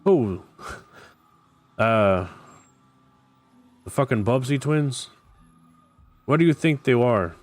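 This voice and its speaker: A man's voice: mid-range voice, no room sound, lightly acted. Man doing Julio, cocky Cuban ambulance driver. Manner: crude, breezy banter.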